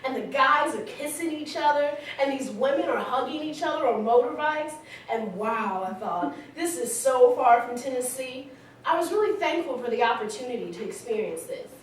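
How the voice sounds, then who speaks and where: A woman's voice: sits high, animated, normal speed. A woman playing a candid, smitten young woman telling her life story conversationally in a staged reading, recorded in an echoey hall. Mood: candid, excited. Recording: very echoey hall, distant mic, faint noise.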